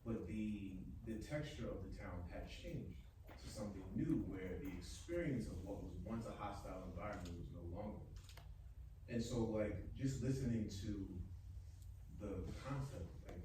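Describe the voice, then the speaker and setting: A man in an audience making a casual, musing comment in a halting, conversational way. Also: fairly low pitch. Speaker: audience member. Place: high up in audience seating of a hall. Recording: very echoey hall, distant, noisy.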